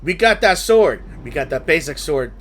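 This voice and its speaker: A man's voice: a little high, sing-song, normal speed, fairly bright tone, a little hiss or hum. A man giving casual playthrough commentary on a game, blunt and teasing, swearing casually. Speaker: gaming streamer.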